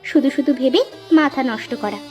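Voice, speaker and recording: This is a woman acting as a village farmer's wife in a cartoon story. Her voice is high, caring and a touch bossy, chiding yet reassuring, with faint music behind. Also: a little fast, darkish tone, natural voice. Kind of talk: cartoon folk-tale dialogue.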